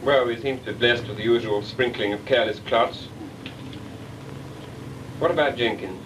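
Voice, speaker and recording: A man's voice: medium pitch, moderate pace. A British man with a mid-range voice plays a chief flying instructor, dry and clipped in an officer's manner. Faint hiss from an old film soundtrack sits under him. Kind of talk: 1940s British film acting.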